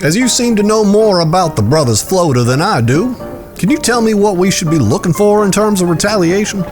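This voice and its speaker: An actor playing a proud, calculating town boss in a western audio drama, speaking in a measured, formal, commanding way. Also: male voice, high voice, expressive, darkish tone, soft background music. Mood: skeptical.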